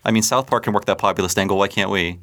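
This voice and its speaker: A man with a fairly low voice chats casually as a podcast co-host. He keeps things light and jokey and scatters fillers through his sentences. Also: fairly even intonation, very fast, a bit bright. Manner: joking, upbeat, filler-heavy. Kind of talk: casual chat.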